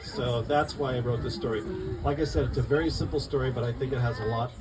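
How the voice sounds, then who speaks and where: A man reading his picture book aloud at a live event, expressive and rhythmic in the rhymes, with informal asides to listeners. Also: medium pitch, fast talker, a crowd in the background. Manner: expressive, rhythmic storytelling of rhyming verse. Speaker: children's book author reading his own book. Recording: distant mic, noisy live room.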